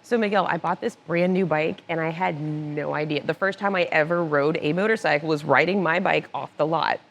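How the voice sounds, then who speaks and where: A woman's voice: low voice, brisk. A woman guest on a casual talk show, telling personal stories with frequent laughter. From born in Italy, raised moving around. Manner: laughs often, animated anecdotes. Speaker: podcast guest, motorcycle finance director. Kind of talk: casual storytelling interview. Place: cigar club lounge.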